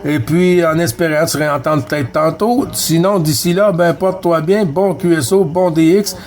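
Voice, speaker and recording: A man's voice: a little high, moderate pace, a little mellow. A man with a Quebec French accent chatting casually as a CB radio operator, friendly and courteous, full of radio jargon. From Quebec, Canada. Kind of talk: casual radio contact chat.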